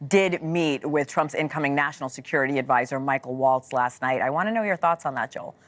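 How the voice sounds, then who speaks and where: Female news anchor, deep low pitch, live interview delivery, dry studio-like sound. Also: a little fast, dry recording.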